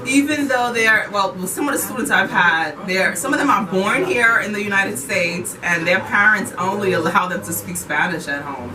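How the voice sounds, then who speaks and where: A woman's voice: mid-range voice, moderate pace, few pauses, a crowd in the background. Woman, echoey and distant. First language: Haitian Creole. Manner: animated, repeats words for emphasis. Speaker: interviewee, ESL teacher. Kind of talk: casual interview chat. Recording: roomy echo, distant mic, noisy background.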